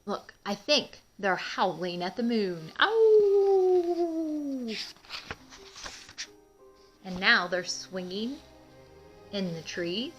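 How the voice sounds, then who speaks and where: A woman's voice: mid-range voice, slow pace, dull audio, soft background music. A woman hosting a children's read-aloud, telling a picture book story expressively and addressing young listeners in simple terms. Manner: asks young listeners questions, explains simply; emphatic, expressive reading.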